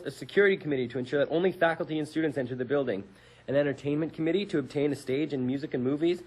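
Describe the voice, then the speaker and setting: Husky young man reading aloud. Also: fairly high pitch, a little mellow, poor audio. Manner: reads steadily with small slips and self-corrections. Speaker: radio show co-host reading a historical piece. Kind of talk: casual reading aloud on campus radio.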